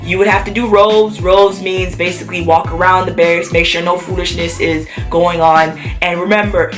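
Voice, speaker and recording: Sick woman chatting to her camera, lots of ums, kinda low voice, faint music. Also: fairly bright tone. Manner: chatty, many fillers. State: sick with a cough. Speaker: vlogger. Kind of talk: casual chat to camera.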